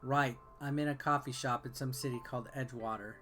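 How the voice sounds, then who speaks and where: A man's voice: medium pitch, fairly even intonation, fairly quick, bright, forceful tone. A man doing casual, offhand gameplay commentary and reading game text aloud, with game music behind him. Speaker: game reviewer and video host.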